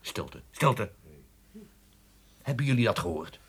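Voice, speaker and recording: A pompous middle-aged ad boss in a radio play. His low, husky voice swings dramatically up and down as he repeats himself with theatrical relish. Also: very animated, slow pace, fairly bright tone, heavily acted. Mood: excited, pompous.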